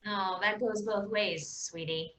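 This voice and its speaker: A woman's voice: mid-range voice, steady tone, slow pace, fairly bright tone, roomy sound, a little hiss or hum, rough recording. A woman playing a tough, bossy, sarcastic squad leader in a comic stage play, blunt and threatening.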